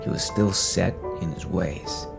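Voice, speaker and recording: A man playing a casual, unhurried narrator, telling a ghost story conversationally in an audio drama. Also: sits low, creaky, over music, full character voice. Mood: wry, measured.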